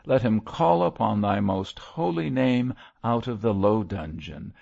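A man's voice: on the low side, expressive, measured pace, creaky, a little mellow, muffled recording, heavily acted. A man voicing a stern, pious old missionary in an audiobook, solemn and exhorting. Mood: fervent pleading. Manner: solemn, exhorting, declamatory prayer. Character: wary old male zealot missionary, stern, fervently pious. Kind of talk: audiobook character voice.

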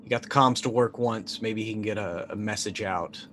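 A man in his forties playing a tabletop roleplaying game, casually narrating his character's actions and thinking aloud haltingly. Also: fairly low pitch, a bit bright, a little hiss or hum. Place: indoor room, online video call.

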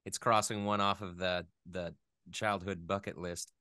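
A man in his thirties chatting casually as an interview guest, thinking aloud in a halting, self-deprecating way. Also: low voice, flat delivery, pushed and bright, clean tone, dry recording, clean studio sound. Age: 30s.

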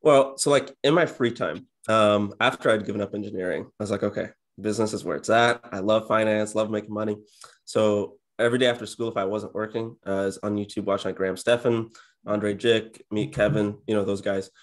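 Young male guest, 20s, deep-leaning voice, unexcitable and introverted, relaxed conversational interview delivery from a home office. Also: brisk. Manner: low-key, introverted, self-described monotone. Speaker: interview guest, real estate agent and YouTuber. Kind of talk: casual interview chat. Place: indoor home office, on a video call.

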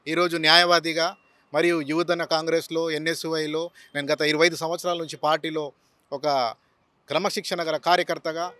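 A man with a Telangana Telugu accent makes a formal political statement to a reporter. His tone is forceful and sharp, slightly raised in pitch, running through long lists. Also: little pitch movement, normal speed, dry recording. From Telangana, India. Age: 40s. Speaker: lawyer and politician seeking candidacy. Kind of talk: news interview statement. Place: outdoors on a city roadside. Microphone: handheld reporter's microphone.

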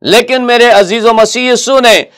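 A man's voice: very high-pitched, moderate pace, a bit bright, clear, resonant tone. A middle-aged man with a Pakistani Urdu accent preaching a sermon in a TV studio, earnest, often asking his listeners rhetorical questions. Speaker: pastor. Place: TV news studio, indoors. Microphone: lapel mic.

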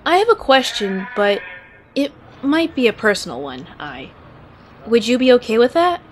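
Casting: a woman as curt, reserved young female inspector for anime audio drama, fairly high, expressive pitch. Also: normal speed. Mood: polite, reserved. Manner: polite but terse, dry. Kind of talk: anime-style fan audio drama acting.

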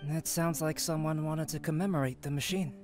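A man voicing a calm, analytical young scholar in a game, speaking in a measured, formal way. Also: on the high side, over music, lightly acted. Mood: pondering. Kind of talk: game voice acting.

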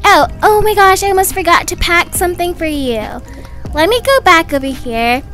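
A woman's voice: very high voice, expressive, normal speed, pushed and bright, faint music behind, full character voice. A woman voicing a doting, fussy young mother in a gushing, motherly manner for a roleplay story. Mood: chatty. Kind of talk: animated doll-game roleplay voice acting.

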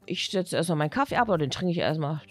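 A woman voicing an easygoing school teacher in a toy-video story, addressing the class in a casual, unhurried way. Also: deep voice, animated, no echo, faint music behind, voice pushed down. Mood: relaxed, matter-of-fact.

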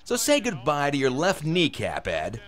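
Mocking, menacing gunman, lively pitch. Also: normal speed. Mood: cold menace. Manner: mocking, taunting. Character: menacing, sardonic gunman toying with his hostage. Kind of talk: radio drama acting.

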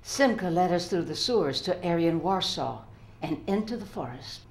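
A somber female survivor, played by an older woman, gives witness in a solemn dramatic reading. Her voice sits fairly low. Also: animated, moderate pace.

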